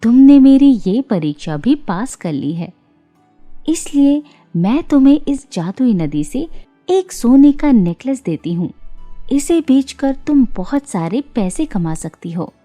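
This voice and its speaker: Woman doing a gentle gracious fairy for a kids cartoon story, mellow, slow and formal. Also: fairly high pitch, big pitch swings, faint music behind, full character voice. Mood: pleased, approving. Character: kind, gracious fairy rewarding good children.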